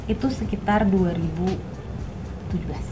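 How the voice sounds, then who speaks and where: A woman's voice: mid-range voice, normal speed, background noise. A woman with a Jakarta Indonesian accent talking bluntly and in slang as a panelist in a casual discussion. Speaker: talk show panelist. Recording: clear music under the voice.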